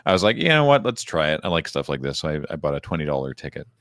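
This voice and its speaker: A man with a very deep, low voice talks casually on a podcast, wandering through a story with lots of restarts and fillers. The sound is dry and close to the mic. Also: very animated, racing, a bit bright, no room sound. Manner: rambling, self-interrupting storyteller. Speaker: podcast co-host. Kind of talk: casual recap chat.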